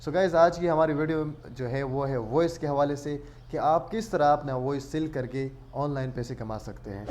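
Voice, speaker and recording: A man's voice: a little high, moderate pace, hardly any pauses, a little mellow. A man hosting an online tutorial, explaining step by step in a casual way and mixing English words into his speech. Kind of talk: casual screen-share tutorial narration.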